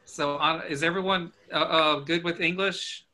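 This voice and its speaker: A man leading a small worship group over a video call, his voice very bright and a touch high. He steers the chat with quick friendly questions and laughs easily. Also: steady tone.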